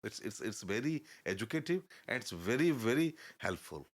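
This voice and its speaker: Older man, halting storyteller. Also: medium pitch, sing-song. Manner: halting, searching for words, earnest and advising. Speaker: interview guest. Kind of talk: reflective storytelling in conversation.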